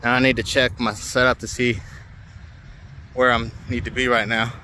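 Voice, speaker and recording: A relaxed older man talks to his phone camera in a loose, colloquial way while walking through an open field at dusk. The voice sits at an ordinary pitch. Faint outdoor noise runs behind him. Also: moderate pace. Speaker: drone hobbyist vlogger. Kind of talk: casual walk-and-talk vlog. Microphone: phone held at arm's length.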